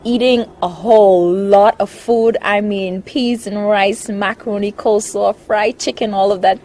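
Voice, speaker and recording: A woman's voice: mid-range voice, steady tone, unhurried, warm tone. Chatty woman, young adult. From Bahamas. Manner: chatty, laughs often, lists things. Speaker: interviewee. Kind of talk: casual interview.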